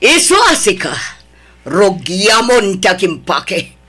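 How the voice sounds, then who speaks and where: A woman's voice: mid-range voice, very animated, pushed and bright, slightly rough, heavily acted. A woman with an Ilocano accent playing a wronged wife who scolds and accuses her husband's mistress. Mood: accusing. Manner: scolding, accusing, slowly emphatic. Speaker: voice actor in an audio drama. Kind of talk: Ilocano audio drama acting.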